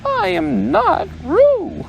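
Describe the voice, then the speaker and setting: A man voicing a small, timid male piglet who protests in a high, squeaky, spluttering voice. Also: big pitch swings, slow, vocal fry, slight background noise, exaggerated voice. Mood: indignant.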